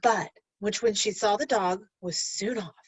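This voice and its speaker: A woman's voice: mid-range voice, a little slow, slightly rough, no room sound. A woman teacher reading a storybook aloud to young learners, speaking expressively and instructively and addressing the children directly.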